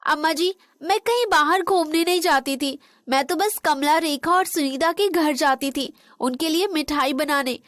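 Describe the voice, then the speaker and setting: A woman playing a dutiful young Indian daughter-in-law in a children's cartoon. Her voice is extremely high and bright, with a dry studio closeness. She speaks politely and earnestly. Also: moderate pace, few pauses, no room sound. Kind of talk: animated moral story dialogue in Hindi.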